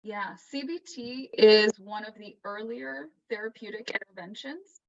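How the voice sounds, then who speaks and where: A woman therapist and author with a soft voice, calmly explaining ideas during a video call panel conversation. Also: mid-range voice. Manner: calm, explanatory, measured. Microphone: video call audio. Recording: muffled distant video call audio.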